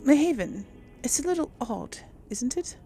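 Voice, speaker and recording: A woman doing casual, chatty video game commentary with a creaky edge to her voice. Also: high-pitched, sing-song, normal speed, dark tone, soft background music. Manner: chatty, talks to the audience. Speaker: gaming channel host playing through a role-playing game. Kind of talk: casual gameplay commentary with in-character dialogue read-alongs.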